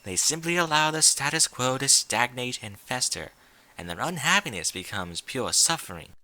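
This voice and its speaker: A man voicing a refined young female pony from a cartoon world in a story reading, with genteel, theatrical, gently prodding diction. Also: medium pitch, expressive, moderate pace, low-quality recording. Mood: grave, dramatic.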